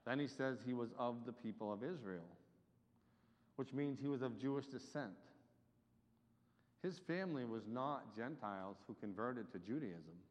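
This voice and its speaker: A man preaching a sermon, with emphatic delivery that swells and drops in loudness. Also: mid-range voice, normal speed. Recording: dull reverberant hall recording.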